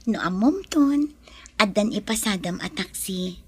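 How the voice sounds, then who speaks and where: A woman playing an affectionate young wife in a radio drama, with a breathy, coaxing voice. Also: medium pitch, animated, a little slow.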